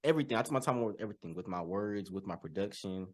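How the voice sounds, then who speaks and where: A young man, a rapper being interviewed, answering in a relaxed, candid way and trailing off softly. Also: lowish voice, rapid-fire. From Jamaican heritage. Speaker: guest rapper.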